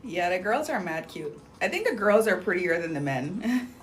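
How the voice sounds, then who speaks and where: A young woman with a slightly low voice chatting on a livestream, bubbly and full of slang and fillers. She reads viewer comments aloud in a loose, rambling way, with soft music playing faintly behind her. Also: big pitch swings, normal speed. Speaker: livestream host promoting clinic deals. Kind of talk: casual livestream chat, reading viewer comments.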